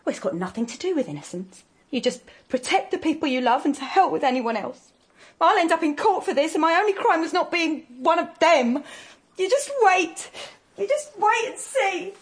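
Very high-pitched, distraught, needy woman. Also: big pitch swings, moderate pace. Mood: bitter, hurt. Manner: pleading, emotional. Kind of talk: radio drama acting.

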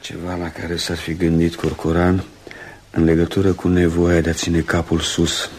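An actor in a radio play voicing a troubled, humbled doctor who speaks in a brooding, halting, earnest way. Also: male voice, low-pitched, monotone, darkish tone, slight background noise, lightly acted. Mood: wry, resolved. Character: troubled, humbled male English doctor, once a cold rationalist. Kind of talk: Romanian radio theatre acting.

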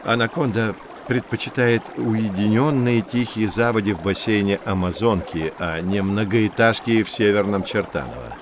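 A man's voice: lowish voice, noisy background, natural voice. A man playing a knowledgeable, patient nature guide who explains things in a children's educational audio drama. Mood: explaining. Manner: measured, didactic.

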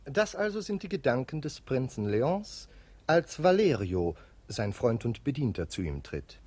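A man's voice: mid-range voice, sing-song, measured pace, a little mellow, dry recording. A male narrator of a 1950s radio play, talking to the listeners in a wry, conversational way.

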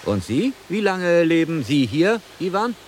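An actor playing an inquisitive sea captain in a radio drama, probing and theatrical. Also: male voice, on the high side, sing-song, unhurried, darkish tone, slight background noise. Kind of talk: 1990s German radio play acting.

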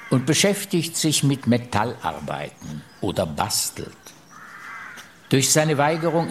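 An actor in a radio play voicing an aggrieved French royal minister reciting a letter in grave, measured, emphatic phrases. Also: male voice, medium pitch, a little hiss or hum, natural voice. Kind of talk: radio drama, letter read aloud.

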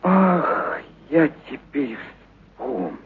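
A kindly, eccentric animal trainer in a radio drama. He speaks slowly and theatrically, doting on his animals. The sound is narrow and muffled, with noise behind him. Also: fairly high pitch, big pitch swings, exaggerated voice. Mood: grieving, distressed. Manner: theatrical, talking fondly to animals. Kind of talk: radio play acting of a short story.

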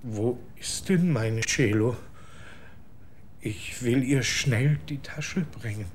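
An actor playing a grieving, embittered middle-aged father in a German radio drama, halting and sometimes sinking into a whisper. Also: medium pitch, a little hiss or hum, close to an everyday voice. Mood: wistful, lost. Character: grieving, embittered father searching for his little girl.

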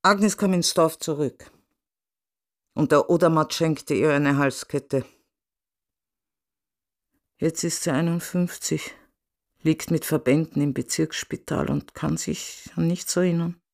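A man's voice: on the high side, takes it slowly, vocal fry, pauses often. An actor in a radio drama playing a plain-spoken village man who narrates a local story in short statements. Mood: plain, narrating.

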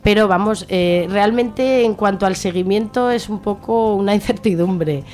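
A woman's voice: mid-range voice, normal speed, darkish tone. A woman with an accent from Spain giving earnest, outspoken answers as an activist guest in an informal radio interview. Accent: Spanish from Spain.

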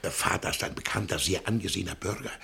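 An actor playing an anxious, courteous middle-aged man in a radio drama, with a gravelly, husky voice and a hesitant, muttering way of talking. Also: low voice, fast talker, bright, forceful tone, heavily acted. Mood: anxious, placating. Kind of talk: literary radio drama acting.

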